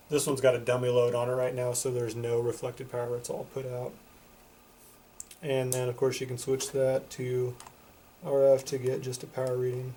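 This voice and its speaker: A man's voice: mid-range voice, warm tone, a little hiss or hum. A man giving a casual product demonstration as a reviewer, plain-spoken and matter-of-fact. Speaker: product reviewer for a CB radio shop.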